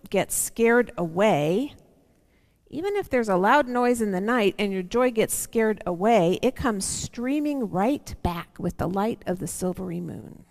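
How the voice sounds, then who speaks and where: A middle-aged woman reading a picture book aloud to children, expressive and lingering on words, with conversational asides. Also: mid-range voice. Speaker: church storyteller for children. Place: large church sanctuary, seated indoors. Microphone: headset microphone. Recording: church hall reverb.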